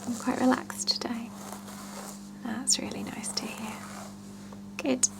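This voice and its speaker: A woman performs an ASMR roleplay as a salon attendant, speaking to her client in a breathy whisper that slips into soft voicing. Her delivery is very slow, gentle and reassuring, with murmured pauses and a creaky edge. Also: a little high, little pitch movement. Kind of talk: ASMR roleplay, one-sided chat with a client.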